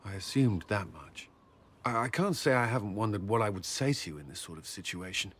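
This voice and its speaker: A man's voice: lowish voice, expressive, brisk, a bit bright. An actor playing a reserved, awkward military commander in his thirties in fantasy game dialogue, earnest and a little hesitant. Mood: nervous, tender. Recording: game audio with background ambient noise.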